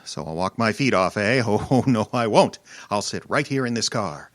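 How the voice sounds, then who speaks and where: A man's voice: fairly low pitch, expressive, normal speed, fairly bright tone, dry recording. An actor playing an irascible, domineering rich older man, imperious and sputtering, who turns cowardly under threat, in old-time radio horror style. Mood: indignant muttering. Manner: blustering, imperious, sputtering and stammering.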